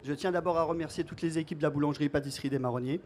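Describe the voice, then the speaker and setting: A man, a baker, giving a friendly, joking thank-you speech with some halting fillers. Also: a little high, crowd noise, background noise.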